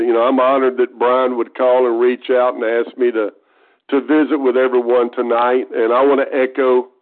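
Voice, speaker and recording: A man in his fifties with an East Texas accent, a guest speaker talking earnestly and folksily on a conference call, often restarting his phrases. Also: medium pitch. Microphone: telephone. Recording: tinny phone line.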